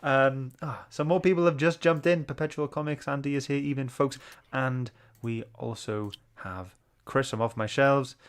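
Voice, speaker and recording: A man's voice: mid-range voice, steady tone, moderate pace, hardly any pauses. A man hosting a book club livestream, chatting informally and greeting viewers. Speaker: host.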